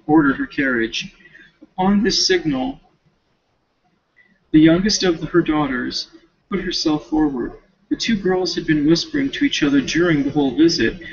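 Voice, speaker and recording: A male student reading a novel aloud with casual asides, expressive and now and then stumbling over words. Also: high voice, creaky, slightly rough, lo-fi sound.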